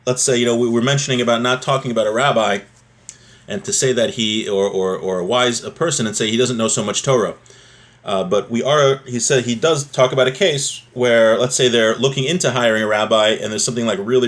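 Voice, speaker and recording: A man giving an informal religious lesson, explaining a text in a conversational way and often checking in with the listener. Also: medium pitch. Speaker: religious teacher.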